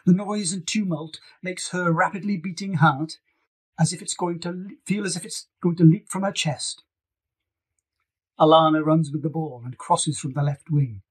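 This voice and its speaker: A man's voice: a little high, slightly rough. A man reading scripted fiction as an author narrating an audiobook. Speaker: author reading own novel.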